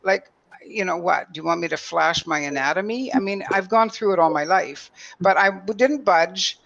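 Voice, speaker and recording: Woman, late 60s, deep-voiced, emphatic storyteller on a panel, lo-fi sound. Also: normal speed, gravelly, fairly bright tone. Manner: emphatic, acts out quoted exchanges. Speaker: roundtable panelist. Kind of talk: animated personal storytelling.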